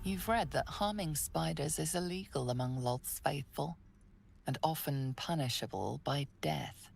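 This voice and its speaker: A woman performing as the ominous, measured narrator of a dark fantasy game, with low, creaky delivery. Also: over music, full character voice. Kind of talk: dramatic scripted narration.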